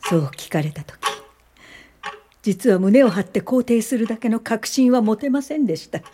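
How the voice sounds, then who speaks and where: A woman's voice: a little high, lively intonation, normal speed, background noise, natural voice. An actress playing an earnest woman welfare activist, narrating her past in careful, polite radio drama style. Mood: troubled, determined. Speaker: narrator and lead character.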